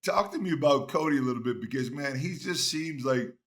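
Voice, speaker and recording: A middle-aged man who is a former player, hosting a casual interview in a relaxed, chatty way. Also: medium pitch, a little fast. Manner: relaxed, chatty, laughs easily.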